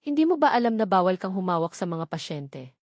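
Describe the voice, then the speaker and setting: A woman voicing a sneering man who mocks and shouts at a guard in a storybook drama. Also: fairly low pitch, sing-song, a little fast, dry recording, clean studio sound. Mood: scornful, loud. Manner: mocking, shouting, scornful. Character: sneering, bullying male hospital worker.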